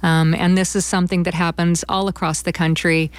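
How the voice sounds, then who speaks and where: A woman's voice: lowish voice, little pitch movement, brisk, clean tone, dry recording. A woman speaking conversationally as an interviewee from a community theater company.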